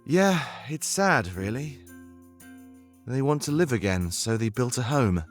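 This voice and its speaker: A man's voice: medium pitch, lively intonation, measured pace, faint music behind, close to an everyday voice. A man voicing an earnest rebel guide in a visual novel game, conscience-stricken but with a playful streak, talking in a musing, conversational way. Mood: explanatory, rueful.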